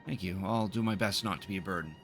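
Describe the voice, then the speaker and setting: A man's voice: low voice, fast, fairly bright tone. A man livestreaming a game, reading its dialogue aloud with casual, slangy asides. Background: video game music.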